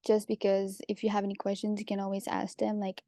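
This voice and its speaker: Mellow young Québécoise woman, even-toned. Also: medium pitch, fairly quick, no room sound, clean studio sound. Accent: Quebec French. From Quebec, Canada. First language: French. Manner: chatty, relaxed, lots of "like". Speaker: pharmacy student panelist. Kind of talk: casual panel talk.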